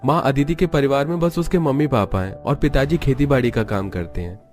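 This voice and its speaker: A man's voice: medium pitch, a little fast, lightly acted. A man voices a devoted young cartoon son in love. He is earnest and reassuring, in a mid-range voice laid over music.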